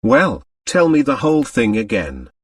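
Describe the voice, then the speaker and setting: A male reader plays an older millionaire boss in a mystery audiobook. He is brusque, preoccupied and insistent, with sharp swings in intonation. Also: lowish voice, wildly swooping pitch, moderate pace, no echo, far from the mic, heavily acted. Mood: puzzled, insistent. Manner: curt, insistent. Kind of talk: audiobook character voice.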